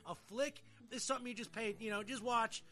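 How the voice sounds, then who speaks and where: A man's voice: very high-pitched, fairly even intonation, a little fast, sharp tone, faint music behind. A man hosting a podcast in casual, colloquial, slangy chat. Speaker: podcast host.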